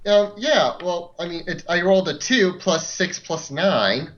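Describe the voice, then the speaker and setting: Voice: medium pitch, lively intonation, measured pace, crowd noise. A player in a tabletop role-playing game, chatting casually over a noisy, muffled connection. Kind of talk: casual chat. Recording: noisy, muffled voice chat with clicks.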